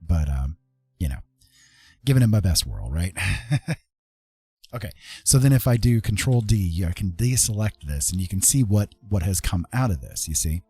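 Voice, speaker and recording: A man with a deep, dark, slightly rough voice teaches digital art on a casual stream. He is informal and easygoing, tagging his points with little check-in questions. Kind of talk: casual live tutorial talk.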